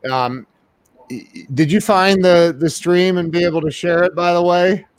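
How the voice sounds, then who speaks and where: A man's voice: sits high, clean tone, no echo. A man hosting an interview podcast, talking casually with lots of fillers and restarts. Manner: halting, many fillers and restarts. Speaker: podcast host.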